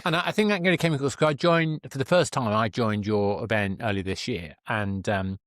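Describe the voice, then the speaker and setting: A man's voice: medium pitch, fairly quick, a bit bright, no room sound, studio quality. A man hosting an interview in a casual, chatty and emphatic way. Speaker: podcast host, interviewer.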